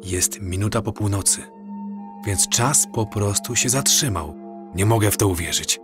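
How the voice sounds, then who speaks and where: A man playing an earnest time traveler in an audio drama, speaking gravely and in a measured, explanatory way. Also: fairly low pitch, over music, lightly acted. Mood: amazed. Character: earnest married time traveler warning of coming catastrophe.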